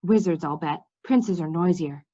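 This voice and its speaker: A woman's voice: lowish voice, moderate pace, mellow, pitched below the performer's own voice, natural voice. A woman voicing a practical, determined young woman from a storybook in a matter-of-fact manner. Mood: wary, muttering. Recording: slightly dull, lo-fi sound.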